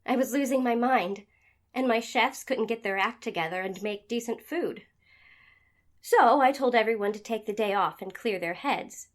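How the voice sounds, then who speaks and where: A woman's voice: on the high side, normal speed, natural voice. A woman playing a tenacious, hot-tempered head chef in an audio drama, with an emphatic way of talking. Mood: candid, reflective.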